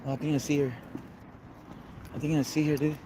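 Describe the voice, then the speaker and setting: A young man livestreaming and chatting to his viewers, nervous and halting, stumbling through restarts and fillers, with English as his first language. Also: fairly high pitch, flat delivery, noisy background. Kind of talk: IRL livestream chat. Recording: music playing underneath. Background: music.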